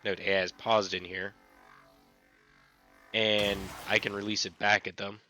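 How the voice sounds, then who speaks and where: A man's voice: on the low side, unhurried, faint music behind. Scratchy-voiced man explaining gameplay. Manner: relaxed, repetitive explaining. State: recovering from illness. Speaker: gaming channel host. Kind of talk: casual gameplay tutorial.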